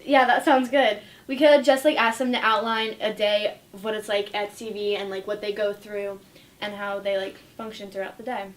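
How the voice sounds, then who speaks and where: A woman's voice: medium pitch, fairly even intonation, moderate pace. A young woman, a student, casually planning interviews with a group of friends. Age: teenager or young adult.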